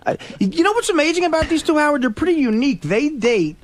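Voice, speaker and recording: A man's voice: very high voice, very animated, quick pace, a little hiss or hum. A man on the radio show throwing in crude wisecracks.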